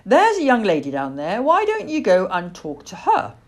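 A woman's voice: medium pitch, wildly swooping pitch, normal speed, voice pushed up. A woman voicing a sympathetic mother barn owl in a children's story, coaxing and motherly. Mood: encouraging.

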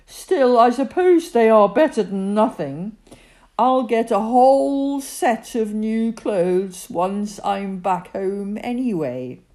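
A woman's voice: very high voice, big pitch swings, a little slow, hardly any pauses, darkish tone, pitched above the performer's own voice, exaggerated voice. A woman voicing a grumpy, snobbish male teddy bear with a cold, whining and complaining as she reads a children's storybook. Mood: sulky. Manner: whiny, complaining. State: sniffly, achy, sneezing.

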